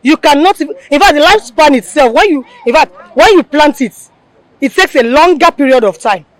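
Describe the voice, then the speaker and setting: A woman's voice: high voice, animated, fairly quick, dry recording. A woman from a women's forum, answering an interview firmly and with enthusiasm.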